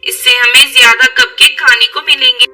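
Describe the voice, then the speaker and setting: A woman narrating children's moral tales in an expressive storytelling style. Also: very high-pitched, normal speed, creaky, recorded from a distance, faint music behind.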